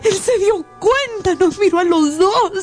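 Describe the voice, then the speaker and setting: A woman's voice: very high-pitched, lively intonation, unhurried, darkish tone, soft background music. An actress in a radio drama playing an anguished, guilt-ridden adulterous young woman, tremulous and pleading.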